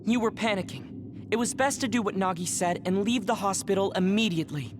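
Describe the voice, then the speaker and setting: A man voicing a composed, rational young squad mate in a video game, speaking in a measured, formal way. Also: sits high, background noise, natural voice. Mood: calm, firm. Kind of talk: English game voice acting. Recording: music under the voice.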